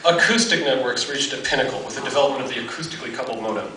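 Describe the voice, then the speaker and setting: A man in his thirties reads a mock-scientific paper aloud in a deadpan, formally academic way. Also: medium pitch, racing. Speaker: researcher presenting a paper. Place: large dark auditorium, on stage. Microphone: podium gooseneck, captured by distant audience camera. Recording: echoey auditorium from far off, noisy.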